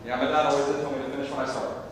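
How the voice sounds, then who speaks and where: A man's voice: little pitch movement, very fast, natural voice. A man playing an eager, good-natured younger helper in a community theatre comedy. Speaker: amateur stage actor. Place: theatre stage, distant microphone. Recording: echoey hall from far off, rumble.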